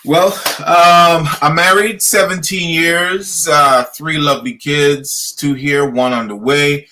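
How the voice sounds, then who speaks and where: Slow, measured man with a bright voice. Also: a little high, fairly even intonation. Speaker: panel guest.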